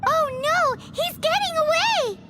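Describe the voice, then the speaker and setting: A woman voicing a tiny, excitable, childlike fairy companion in a video game, given to exclaiming. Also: extremely high pitch, slow pace, very noisy, heavily acted. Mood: alarmed. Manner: speaks of self in third person, exclaiming. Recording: music playing over game dialogue.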